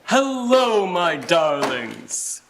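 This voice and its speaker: A man playing a gentle, doting, poor Victorian father in a live radio play, with a tender, halting delivery. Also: on the high side, sing-song, gravelly, exaggerated voice. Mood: playful surprise. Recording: noisy live stage recording with clicks.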